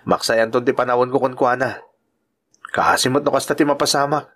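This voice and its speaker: Actor as good-natured Ilocano bachelor uncle. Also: male voice, medium pitch, fairly even intonation, a little fast, a bit bright, natural voice. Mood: earnest. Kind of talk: Ilocano radio-style drama dialogue.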